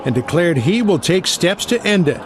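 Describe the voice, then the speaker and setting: A male TV correspondent reads a scripted report. His voice is pitched fairly high for a man. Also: big pitch swings, normal speed, background noise. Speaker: TV news correspondent.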